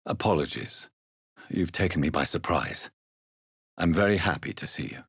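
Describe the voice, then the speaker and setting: A tormented British gentleman vampire for a video game. His voice is very deep and husky, recorded close and dry. He speaks formally and articulately, with grave, stern intensity. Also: normal speed, dry recording, exaggerated voice. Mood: courteous, measured. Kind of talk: video game voice acting, scripted dramatic dialogue.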